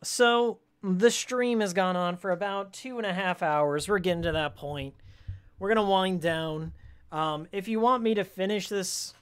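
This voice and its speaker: A game streamer with a raspy voice worn from shouting, giving casual, joking, opinionated commentary and fan trivia in slangy language. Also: medium pitch, measured pace. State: voice worn from screaming and ranting.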